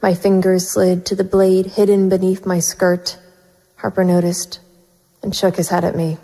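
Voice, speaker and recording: A woman's voice: on the low side, level tone, normal speed, dark tone, rough recording. A woman playing a wary, bitter private investigator, narrating a noir audio drama in the first person. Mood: bitter, tense.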